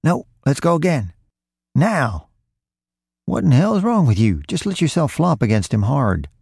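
Crime audiobook role: man playing pushy male pickpocket, street-tough, insistent, lifted pitch, gravel underneath, dry sound. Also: animated, moderate pace, warm tone, no room sound. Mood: urgent, bossy. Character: pushy, hard-boiled pickpocket, an adult male. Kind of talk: acted dialogue in a crime story reading.

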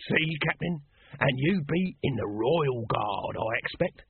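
Man acting a chummy, husky street huckster. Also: medium pitch, lively intonation, unhurried, narrow, tinny audio. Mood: salesy. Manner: pleasant, colloquial sales patter. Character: cheerful shameless male street huckster, chummy and wheedling.

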